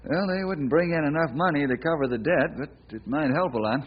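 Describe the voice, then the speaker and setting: An actor playing a calm, practical ranger in an old radio western, plain-spoken and measured. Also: male voice, fairly high pitch, lightly acted. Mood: decisive. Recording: dull, noisy old radio recording.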